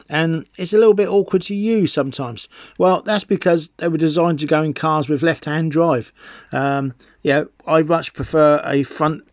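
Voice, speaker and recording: A man's voice: a little high, no echo, studio quality. A middle-aged man presenting an enthusiast equipment review in an unscripted, somewhat hesitant explaining manner. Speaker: video presenter.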